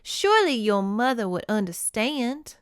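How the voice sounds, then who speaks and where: A woman's voice: fairly high pitch, sing-song, measured pace, smooth, no room sound, clean studio sound. A woman voicing a loyal, protective young lady's maid, emphatic and formal, in a romance novel reading. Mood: protective, pleading.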